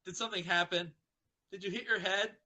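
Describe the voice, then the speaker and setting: A man's voice: high voice, steady tone, a little fast, sharp tone, heavily acted. Man putting on a dramatic, fussy lady pony fashionista. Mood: dramatic questioning.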